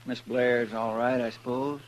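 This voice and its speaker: A man's voice: mid-range voice, fairly even intonation, measured pace, natural voice. A man playing a good-hearted, folksy deputy sidekick in an old-time radio western, deferential in manner. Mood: concerned. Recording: noisy old radio recording with hum.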